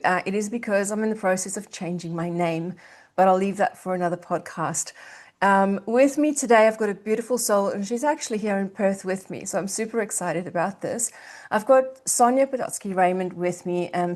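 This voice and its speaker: A woman hosts a podcast in a middle-pitched voice, warm in her words and gushing over her guest. She often stops and restarts with "um" as she looks for how to put her next question. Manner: effusive, gushing with hesitant fillers. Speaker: podcast host. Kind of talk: casual podcast interview.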